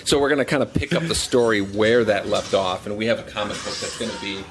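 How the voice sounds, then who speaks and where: Male game developer, low voice, casual and halting interview delivery, faint background noise. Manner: halting, hedging with repeated words.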